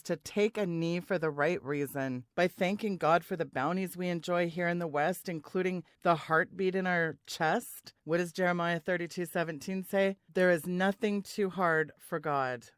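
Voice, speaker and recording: A woman hosting a talk show, delivering measured, moralizing commentary that sounds read from prepared remarks. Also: lowish voice, clean tone, no room sound, studio quality. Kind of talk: scripted commentary.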